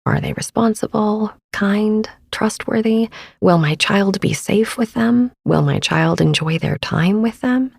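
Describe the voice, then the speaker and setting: A woman in her thirties gently narrating a sleep meditation as podcast host, with a soft, breathy, soothing and unhurried delivery. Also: medium pitch, no echo, clean studio sound.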